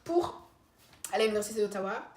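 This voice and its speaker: Young woman vlogger, laid-back playful chat, mid-pitched voice, roomy echo, faint hiss. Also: animated, quick pace, darkish tone. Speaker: vlogger, host of the channel. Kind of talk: casual chat.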